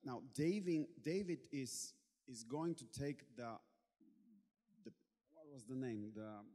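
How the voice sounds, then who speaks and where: A Bulgarian-born man preaching in English, pausing often as he searches for words, with a playful edge. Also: medium pitch, mellow. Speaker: guest preacher. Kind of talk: sermon in English with interpreter. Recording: long hall reverb.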